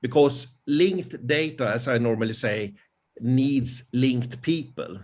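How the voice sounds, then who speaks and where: An older man with a Swedish accent giving an informal talk over a video call, pausing often with fillers. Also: medium pitch, a little mellow. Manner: hesitant, many fillers. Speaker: conference presenter. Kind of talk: informal presentation.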